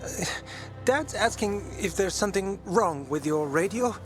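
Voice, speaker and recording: A man's voice: high voice, expressive, measured pace. A man playing an earnest, curious young son in a video game. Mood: curious, hesitant. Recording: game music behind the voice.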